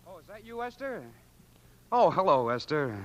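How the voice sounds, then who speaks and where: A man's voice: high-pitched, very animated, normal speed, dull audio. Wildly swooping teenage boy. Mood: friendly. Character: friendly, startled teenage boy next door. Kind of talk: 1940s radio acting. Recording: noisy old radio recording.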